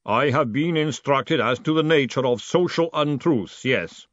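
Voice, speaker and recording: A man voicing a golem servant in an audiobook, slow and stiffly formal, with a rumbling voice. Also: dry recording, lo-fi sound, natural voice. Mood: solemn, unbending.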